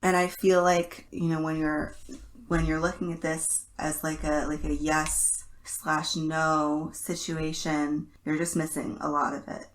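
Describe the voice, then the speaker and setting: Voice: mid-range voice, little pitch movement, a little slow, a bit bright, a little hiss or hum, rough recording. A woman co-hosting a podcast in casual analytical chat, emphatic and repeating words to stress a point. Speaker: podcast co-host.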